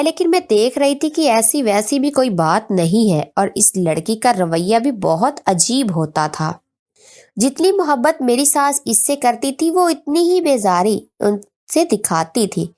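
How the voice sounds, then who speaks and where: A woman's voice: fairly high pitch, darkish tone. A woman narrating a scripted, emotional family story in the first person, as a storyteller.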